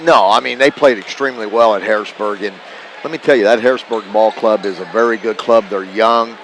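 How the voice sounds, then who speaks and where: A man's voice: lowish voice, a little fast, a bit bright, a little hiss or hum, rough recording. A man giving live sports commentary as a radio analyst, plain-spoken and folksy in a conversational way. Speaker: radio broadcast partner, analyst. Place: corner perch in a school gymnasium. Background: gym ambience, bouncing basketballs.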